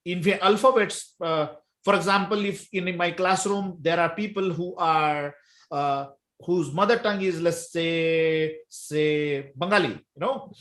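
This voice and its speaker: A man's voice: a little high, measured pace, fairly bright tone. A man with an Indian accent, a language teacher whose first language is Hindi, talking through a casual discussion with many fillers and restarts. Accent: Indian English.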